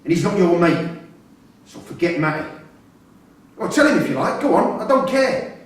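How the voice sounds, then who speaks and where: An actor in a staged reading playing an imprisoned father, pleading and insistent, quick to swear and anger. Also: high-pitched, sing-song. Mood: angry. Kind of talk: live staged play reading. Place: theatre-pub performance space, recorded from a distance. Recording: roomy far-off stage recording, faint noise.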